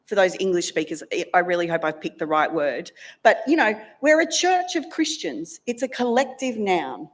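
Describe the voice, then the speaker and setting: A woman's voice: mid-range voice, animated, normal speed. A woman minister interviewing a church member in a casual, chatty way, laughing easily. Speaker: minister leading worship.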